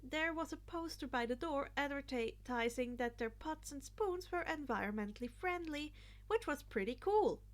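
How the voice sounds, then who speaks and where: A woman's voice: sits high, animated, normal speed. A woman narrating a piece of fan fiction as a scripted story reading.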